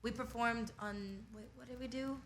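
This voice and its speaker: Girl group singer chatting, highish voice, playful, echoey room with faint hiss. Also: steady tone, normal speed, fairly bright tone. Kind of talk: casual interview chat.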